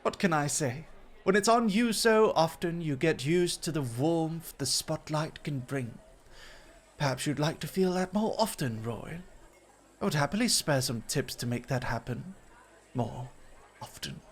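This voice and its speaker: A man's voice: a little high, expressive, a little slow, a little hiss or hum. A man playing a suave, teasing dog host at a club in a flirty ASMR roleplay, with polished, coy, formal phrasing.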